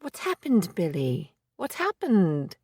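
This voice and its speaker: A woman voicing a determined woman private investigator, speaking in a measured, formal, resolute way. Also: fairly low pitch, huge pitch swings, a little mellow, slightly rough, dry recording, clean studio sound, exaggerated voice. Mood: alarmed.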